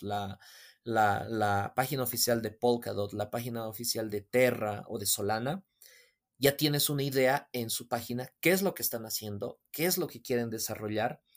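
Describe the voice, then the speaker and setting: A man who is a podcast guest, explaining things at a measured pace with frequent "eh" fillers. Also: mid-range voice. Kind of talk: explaining in a casual interview.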